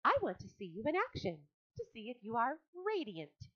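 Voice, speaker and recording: A woman's voice: fairly high pitch, moderate pace, dry recording, pitched above the performer's own voice, exaggerated voice. A woman voicing a kind, wise female barn spider, coaxing and polite. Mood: urging, warm. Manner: bright, lively, coaxing and polite. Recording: dull lo-fi audiobook recording.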